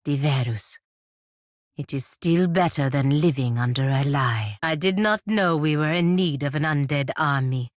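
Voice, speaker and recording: A woman playing a proud, stern, principled warrior in a video game, with formal, measured phrasing and a dryly sardonic edge. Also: deep voice, no echo, studio quality. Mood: grave, measured. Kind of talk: video game character dialogue.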